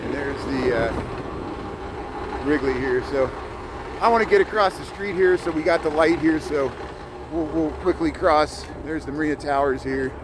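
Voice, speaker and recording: A man's voice: on the high side, unhurried. Chatty Midwestern man livestreaming outdoors. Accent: Chicago-Midwestern mix. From Indiana. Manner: chatty, repeats words, greets viewers by name. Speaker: livestream host. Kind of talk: live walking tour chat. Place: outdoors on snowy city streets. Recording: street noise behind. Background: traffic and city noise.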